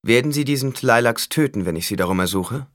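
Man acting out a defiant nobleman, protective leader, guarded, commanding, animated audiobook delivery, dry sound. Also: mid-range voice, fairly quick, dry recording, voice pushed up, lightly acted.

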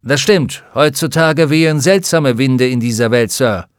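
A man's voice: medium pitch, unhurried, clean tone, dry recording, clean studio sound, natural voice. A man reading an audiobook, voicing a courteous adult male balloon pilot from Texas with a lifted, eager delivery. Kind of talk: audiobook character voice.

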